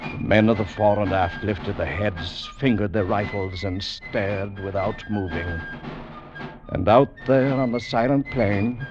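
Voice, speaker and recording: An actor narrating an old radio war drama in a grave, dramatic storytelling manner. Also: male voice, fairly low pitch, measured pace, close to an everyday voice. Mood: solemn, suspenseful. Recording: dull vintage radio recording, music behind.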